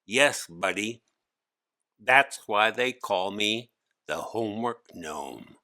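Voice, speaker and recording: A man acts out a tiny old male gnome from a children's storybook, low in voice. He is shifty and chummy, with an unhurried, wheedling dealmaker's patter. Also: expressive, bright, forceful tone, dry recording, studio quality, heavily acted. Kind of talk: storybook character voice.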